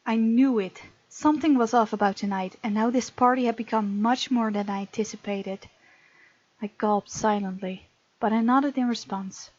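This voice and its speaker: A woman's voice: a little high, normal speed, darkish tone, dry recording, dull audio. A woman hosting a gaming video, expressively reading game text aloud with casual, playful commentary.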